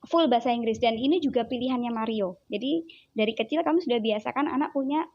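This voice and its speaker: A woman's voice: a little high, fast talker, dull audio. A woman with a Javanese accent, a child psychologist chatting as an interview guest, telling stories animatedly and acting out her child's words. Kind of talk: casual interview chat.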